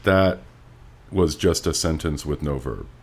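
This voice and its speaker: A man's voice: extremely low pitch, lively intonation, unhurried. A man co-hosting a podcast, moving between casual wry comments about books and dramatic read-alouds. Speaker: podcast co-host.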